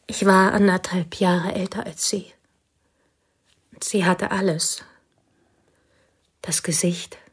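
An actress in a radio play playing a bitter, possessive spinster, speaking in intense, deliberate short phrases with a breathy voice. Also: female voice, fairly low pitch, full character voice. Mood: bitter, confessional. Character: bitter, possessive spinster devoted to her girlhood friend. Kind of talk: radio play acting in German.

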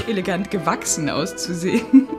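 A woman's voice: on the low side, wildly swooping pitch, a little slow. An actress playing a carefree, affectionate, teasing bohemian woman in a radio drama. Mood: teasing, amused. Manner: playful, flirtatious, cooing. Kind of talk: German radio drama acting. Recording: music clearly behind the voice.

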